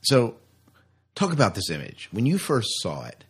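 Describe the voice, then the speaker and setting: American male podcast host, low and husky, conversational, fond of rhetorical questions. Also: wildly swooping pitch, normal speed.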